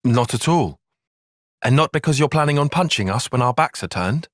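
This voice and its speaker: A man's voice: medium pitch, lively intonation, moderate pace, no room sound, clean studio sound, close to an everyday voice. A man playing an earnest, analytical young soldier in a fantasy video game, speaking with precise, formal phrasing. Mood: serious, analytical. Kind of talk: video game cutscene acting.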